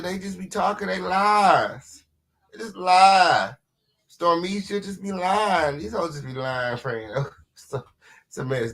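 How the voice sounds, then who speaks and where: A man's voice: on the high side, a bit bright. A man hosting a live stream, mocking the guest in crude terms and repeating his catchphrases. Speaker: host.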